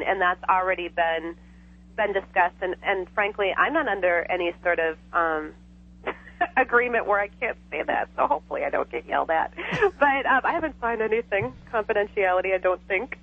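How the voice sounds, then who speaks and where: A woman guest on a radio talk show, chatting casually over the phone, laughing often and filling her speech with "you know". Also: medium pitch. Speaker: psychic guest. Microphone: telephone. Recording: tinny phone line.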